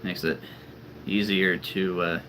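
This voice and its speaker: A man with a very deep voice, chatting casually and rambling on a live stream as a toy-collecting channel host. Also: level tone, slow, bright, forceful tone, slight background noise. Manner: chatty and rambling, laughs often.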